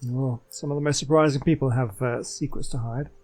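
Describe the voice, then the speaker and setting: A man's voice: medium pitch, fairly even intonation, brisk, darkish tone. A man giving casual, musing gameplay commentary as the host of a gaming video. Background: video game sound.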